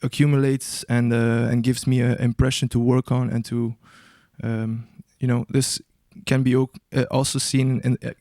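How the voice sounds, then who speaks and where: Smooth-voiced young man, hesitant and searching. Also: medium pitch, little pitch movement, normal speed, a little mellow, dry recording, studio quality. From Albania. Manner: hesitant, searching for words, many restarts. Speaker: guest musician. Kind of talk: casual interview answers.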